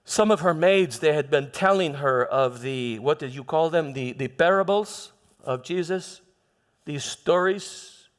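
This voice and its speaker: A man playing a troubled Roman governor who recounts his past in a halting dramatic monologue, repeating words and pausing for emphasis. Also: on the high side, clean tone, lightly acted. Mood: grave, reflective. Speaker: church dramatist performing a monologue. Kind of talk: first-person dramatic monologue in church. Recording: echoey hall with long reverb.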